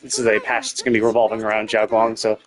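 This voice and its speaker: A man's voice: fairly low pitch, little pitch movement, quick pace. A man giving casual, speculative game commentary as a live streamer, thinking aloud and often rephrasing himself.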